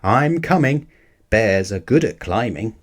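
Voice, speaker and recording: A man's voice: on the low side, lively intonation, unhurried. An earnest, eager little bear from a children's storybook, as a male narrator puts him on. He speaks courteously and takes everything sincerely. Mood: eager, alarmed.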